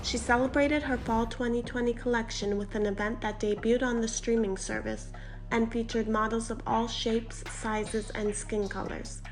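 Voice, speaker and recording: A woman narrating an entertainment news report in a brisk, scripted way. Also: fairly high pitch, steady tone, faint music behind, poor audio.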